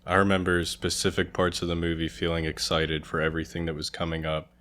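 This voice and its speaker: Male guest reviewer, deep-pitched, plain-spoken and agreeable in casual chat. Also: monotone, fast, a bit bright.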